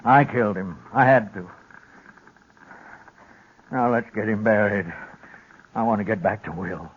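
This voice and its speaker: An actor playing a stoic, weary old prairie rancher, plain-spoken and solemn, in a 1950s radio western. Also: male voice, on the low side, measured pace, lightly acted. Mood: solemn resolve. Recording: dull, noisy 1950s radio recording.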